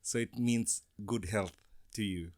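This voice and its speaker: Slow, giggly man from Fiji. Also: fairly low pitch, a little mellow. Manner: giggly, nervous, short answers. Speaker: podcast guest. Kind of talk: casual interview answers.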